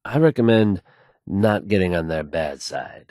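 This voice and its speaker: An actor playing a smooth, self-assured male radio DJ and announcer in a video game. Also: sits low, animated, measured pace, a little mellow, no echo, studio quality. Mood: assured, wry.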